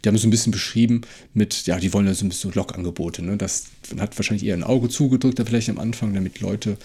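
A man's voice: fairly low pitch, steady tone, very fast, mellow, breathy edge. A man co-hosting a podcast, casually analysing a story while feeling for his words. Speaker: podcast co-host. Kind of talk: casual discussion and analysis of an audio drama plot.

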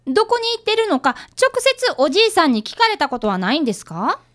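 A woman voices an earnest schoolboy apprentice detective. The voice is high and lilts widely. The boy speaks politely and eagerly, in radio drama style. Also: sing-song, quick pace, fairly bright tone. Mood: polite, curious.

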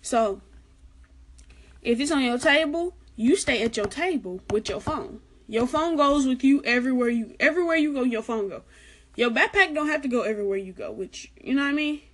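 A young woman gives casual advice in a chatty vlog. She is soft-spoken, has a light, girlish voice and keeps repeating her warnings. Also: sits high, lively intonation, moderate pace. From Arkansas, United States. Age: late teens. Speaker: vlogger giving school advice.

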